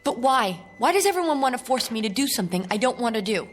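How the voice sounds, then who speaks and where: A woman's voice: fairly high pitch, very animated, fast talker, a little hiss or hum. A woman playing an earnest, defiant young woman in a radio drama, questioning and insistent.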